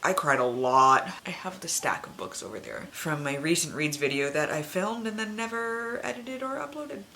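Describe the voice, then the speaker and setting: Lower-pitched woman, chatty and noisy background. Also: sing-song, normal speed, vocal fry, pushed and bright. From Canada. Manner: informal, sweary, emphatic opinions. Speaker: book reviewer, video host. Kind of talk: casual chatty vlog.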